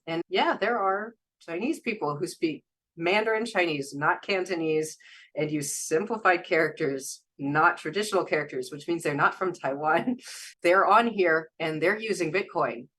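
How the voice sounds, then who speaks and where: Low-voiced chatty woman. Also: a bit bright. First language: English. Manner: chatty, rambling, with frequent laughs. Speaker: guest, translator and former Chinese teacher. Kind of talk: casual interview chat.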